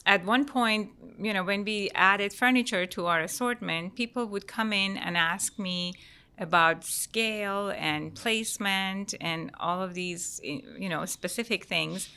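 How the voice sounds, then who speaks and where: A middle-aged woman from Iran, an interior designer talking through her career as a podcast guest, relaxed and full of fillers. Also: medium pitch, measured pace, clear, resonant tone. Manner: relaxed, many fillers and restarts. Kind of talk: conversational interview answers.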